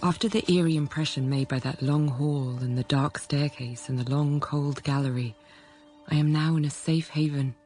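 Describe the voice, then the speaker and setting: An actress playing a restless, self-controlled young governess in a radio drama, speaking in a measured, introspective way. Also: female voice, low voice, fairly even intonation, a little mellow, natural voice. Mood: quietly observant. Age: young adult. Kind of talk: radio drama, first-person literary narration and dialogue. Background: background music.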